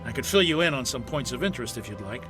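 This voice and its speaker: A man's voice: medium pitch, animated, fast, over music, natural voice. A man playing a chatty, obliging attendant in a video game, with a gossipy way of talking. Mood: chatty, helpful.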